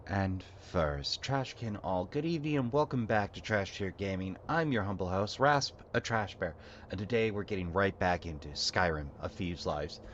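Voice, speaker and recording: A man's voice: deep voice, expressive, normal speed, fairly bright tone, dull audio, a little hiss or hum. A man hosting a gaming video with a stuffed-up, congested nose, chatting playfully and muttering to himself as he plays. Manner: playful, theatrical, muttering to himself while playing. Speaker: gaming channel host. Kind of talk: casual let's play commentary.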